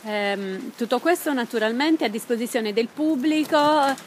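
Lady reporter asking quick questions outside, bit high voice, faint noise. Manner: brisk questions to the guest. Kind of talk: field interview.

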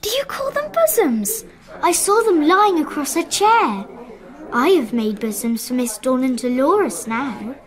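A woman's voice: high voice, very animated, unhurried. An actress playing an inquisitive, blunt, gossipy young English girl in a radio drama.

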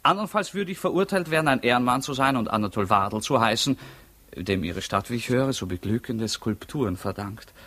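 A man's voice: medium pitch, expressive, fairly quick, fairly bright tone, dry recording. An actor in a 1950s German radio drama playing a prisoner who denies his identity, ironic, insistent and politely provocative. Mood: defiant, indignant. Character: defiant prisoner, a man denying his identity.

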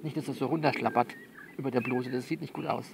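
A male actor plays a man coaching someone over the phone in an audio drama. His voice is gravelly and mid-pitched, with faint noise behind it. He reassures and bosses in turn, in halting, repeated instructions. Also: steady tone, lightly acted. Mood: bossy, coaxing. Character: bossy, soothing male confidant coaching a nervous woman. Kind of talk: one-sided phone conversation in an audio novel.

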